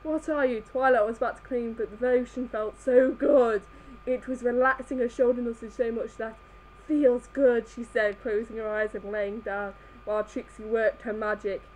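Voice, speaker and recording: A woman's voice: fairly high pitch, level tone, normal speed, darkish tone, slight background noise, rough recording. A woman reading fan fiction aloud live, stumbling over the text as she goes. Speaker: reader in a group comedy reading.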